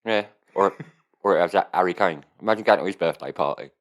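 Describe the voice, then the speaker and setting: A man's voice: deep voice, quick pace. A man, co-hosting a podcast in casual, rambling banter full of swearing and rhetorical questions. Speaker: podcast co-host.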